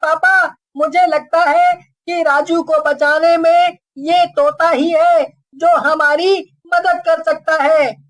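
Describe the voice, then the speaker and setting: Young cartoon boy, played by a woman: very high, slow, careful speech, earnest and observant. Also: fairly even intonation. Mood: earnest, worried. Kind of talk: Hindi cartoon character acting.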